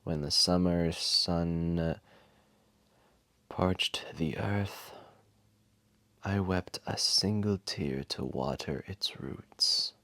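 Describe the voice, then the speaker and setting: A man voicing an ancient, massive dragon, wise, gentle and remorseful, telling a solemn story to one listener in a gravelly, breathy half-whisper. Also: sits low, no echo, full character voice. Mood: tender, wistful. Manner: solemn, deliberate, tender. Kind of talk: slow dramatic storytelling to a single listener.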